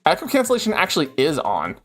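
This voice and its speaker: Male stream host at home, animated delivery, reads rules text in quick bursts, faint music behind. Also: fairly high pitch. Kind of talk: casual chat while reading card text aloud.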